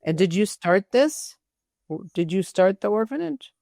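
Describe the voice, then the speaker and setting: A woman's voice: medium pitch, very animated, a little slow, gravelly, dark tone, dry recording, studio quality. A woman hosting a casual interview, talking informally and thinking aloud as she goes. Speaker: interview show host.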